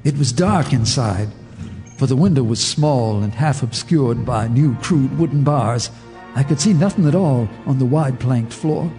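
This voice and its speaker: A man's voice: fairly high pitch, close to an everyday voice. A man playing a grave horror narrator. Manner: grave, measured storytelling. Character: haunted male neighbor telling a horror tale. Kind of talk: radio drama narration.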